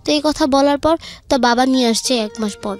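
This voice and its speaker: A woman's voice: sits high, fairly quick. A young woman with a Bangladeshi accent tells her own story conversationally, recounting who said what in a colloquial way over music. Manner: colloquial, recounting dialogue turn by turn. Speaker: guest telling own life story.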